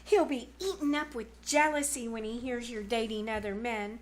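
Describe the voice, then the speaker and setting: A woman's voice: a little high, normal speed, natural voice. A woman playing a bossy, scheming teenage girl in old-time radio comedy style, emphatic and wheedling. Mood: persuasive. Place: live on a small theater stage.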